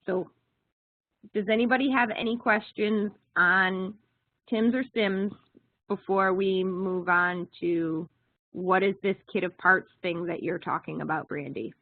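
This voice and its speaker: A woman's voice: fairly low pitch, a little slow, dry recording. Woman briefing callers over a tinny phone line. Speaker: conference call co-host. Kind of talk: informal informational briefing. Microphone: telephone.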